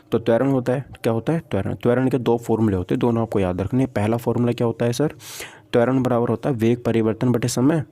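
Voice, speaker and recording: A man's voice: mid-range voice, dark tone. A man teaching an exam-prep physics lesson step by step, asking questions, answering them himself and repeating key points.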